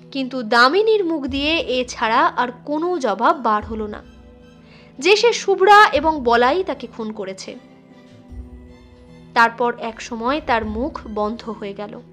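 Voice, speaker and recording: A woman's voice: high-pitched, sharp tone. A woman narrating horror fiction dramatically, as a storyteller reading an audiobook. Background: faint background music.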